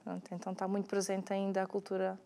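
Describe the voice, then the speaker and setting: A woman with a Setúbal Portuguese accent, a soft-spoken guest chatting on a talk show and laughing easily. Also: mid-range voice, monotone, quick pace, creaky, slight background noise. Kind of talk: casual chat.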